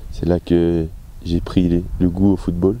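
A man's voice: low-pitched, monotone, a little slow, dark tone. A man, giving casual, hesitant interview answers on a handheld mic outdoors. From Belgium. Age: 20s. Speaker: footballer being interviewed. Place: open-air football pitch. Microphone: handheld microphone.

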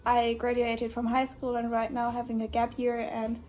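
A young German woman of eighteen, chatting in English with a German accent and leaning on "like" and "yeah". Her voice sits a little high but barely rises or falls, mellow and soft in tone. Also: normal speed, soft background music. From northern Germany. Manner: relaxed, many fillers like "like" and "yeah". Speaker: guest volunteer. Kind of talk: casual chat on camera. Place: indoor room with shelves.

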